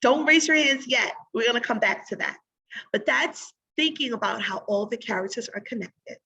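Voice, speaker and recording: A woman teacher giving a read-aloud lesson in an instructive way, pausing to think aloud and pose guiding questions. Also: fairly high pitch, lively intonation, creaky, bright, forceful tone, slightly rough, rough recording.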